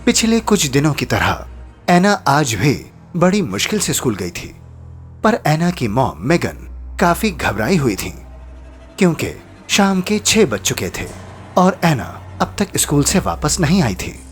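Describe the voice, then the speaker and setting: A man's voice: on the high side, very animated, a little slow. A man narrating a scripted horror story in a suspenseful storytelling style. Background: background music.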